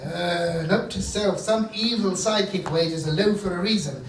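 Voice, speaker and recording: A man's voice: sits high, moderate pace, lightly acted. A man acting a blustering, petulant mad scientist villain in a comic stage radio serial, with theatrical exasperated asides. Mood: petulant scheming. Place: theatre stage before a live audience. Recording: echoey theatre stage from far off, faint noise.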